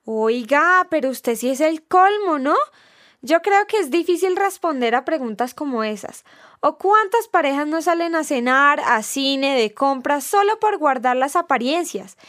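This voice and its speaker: A Colombian-accented woman with a high voice, recorded close and dry. She delivers scripted radio dialogue with sardonic, scolding indignation. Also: animated, a little slow, a bit bright, dry recording. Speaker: radio presenter.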